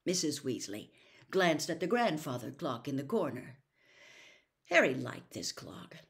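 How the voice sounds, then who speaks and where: A woman reading a story aloud in an expressive storybook narration style. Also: low-pitched, unhurried.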